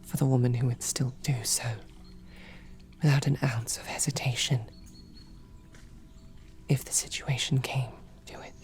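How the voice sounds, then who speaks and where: A woman voicing a devoted, protective female royal knight in a whispered audio roleplay, with soft, earnest questioning. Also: extremely low pitch, steady tone, a little slow, pauses often. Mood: earnest, pleading.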